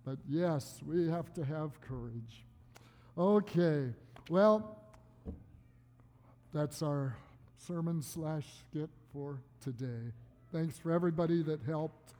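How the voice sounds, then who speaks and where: Woman, children's church leader, gentle teacherly manner, slow pace, echoey hall. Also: deep voice, a little hiss or hum. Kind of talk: children's sermon and skit. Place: church sanctuary.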